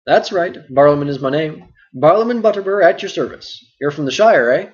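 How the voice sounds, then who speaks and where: Mężczyzna grający gadatliwego, grubego karczmarza. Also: male voice, on the high side, expressive, natural voice. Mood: bustling chatty. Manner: loud, hurried, endless stream of talk. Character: fat bustling middle-aged male innkeeper, chatty. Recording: dull lo-fi recording.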